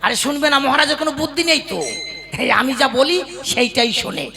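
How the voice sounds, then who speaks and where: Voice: high voice, fast talker, creaky, crowd noise. A performer voicing a sly, greedy male government clerk in a comic puppet-show cartoon, boastful and cunning. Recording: music bed under the voice. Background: music.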